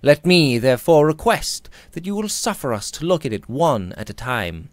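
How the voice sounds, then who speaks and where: Man voicing a droll, pompous young Victorian gentleman. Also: a little high, sing-song, normal speed, no room sound. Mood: mock-solemn. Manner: mock-solemn, wordy, pompous.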